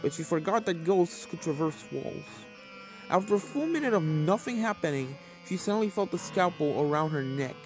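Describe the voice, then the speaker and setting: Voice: mid-range voice, lively intonation, normal speed. A narrator giving a scripted reading of a fan fiction story. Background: game soundtrack music.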